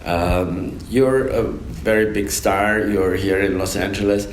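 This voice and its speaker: Casting: middle-aged male interviewer, unhurried and halting, lowish voice, roomy recording. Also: fairly even intonation. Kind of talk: casual interview. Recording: echoey room with background noise.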